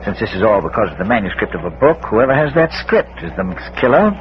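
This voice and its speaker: A man's voice: fairly low pitch, big pitch swings, fast. A man playing a genteel, unflappable schoolmaster detective with a precise, hesitant, dryly formal manner, in 1940s radio style. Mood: calm, deliberate. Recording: muffled, lightly noisy old radio recording.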